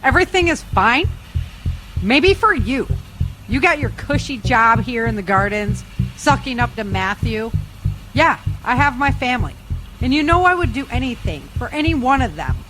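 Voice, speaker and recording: A restless, determined wife, played by an actress in an audio drama. Her voice swings widely in pitch and sounds insistent and emotional over background music. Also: big pitch swings, normal speed, a bit bright, rough recording. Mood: bitter, defiant. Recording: music under the voice.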